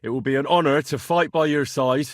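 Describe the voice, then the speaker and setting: A man's voice: fairly high pitch, a little fast, no room sound, studio quality, close to an everyday voice. An actor playing a plain-spoken, earnest and sometimes cheeky young Bohemian swordsman in a medieval video game. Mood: earnest, resolute. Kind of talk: video game voice lines, naturalistic dramatic acting.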